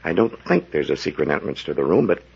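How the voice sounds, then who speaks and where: Grim radio-serial doctor, fast-talking and gravelly, gives orders, dull vintage sound. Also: male voice, very deep voice, huge pitch swings, darkish tone, exaggerated voice. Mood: commanding. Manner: brisk, commanding. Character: authoritative, grim male doctor on an adventure. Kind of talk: 1940s radio drama acting.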